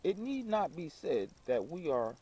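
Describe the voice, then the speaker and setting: A man reciting a literary text as its reader, in a solemn, deliberate and dramatic manner. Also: on the high side, big pitch swings, warm tone, slightly rough.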